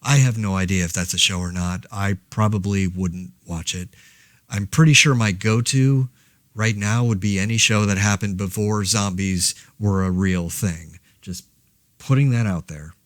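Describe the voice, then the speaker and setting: Male actor as wry, hesitant survivor-podcaster, low voice, dry sound, deadpan casual monologue. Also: normal speed, no echo, close to an everyday voice. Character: laid-back, rambling man podcasting alone through a zombie outbreak. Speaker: podcast host. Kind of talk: casual monologue, fictional audio diary.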